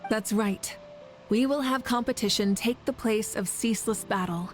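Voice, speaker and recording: A woman voicing a confident, playful leader of a warrior nation in a video game, calm and dryly teasing. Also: a little high, moderate pace, faint music behind, close to an everyday voice.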